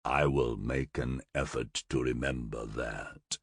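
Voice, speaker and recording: A man voices a gurgling half-animal monster in an audiobook, sardonic and taunting, dragging each line out. The tone sits deep and husky, with wide swoops of pitch. The room is dead dry. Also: steady tone, takes it slowly, no room sound, heavily acted.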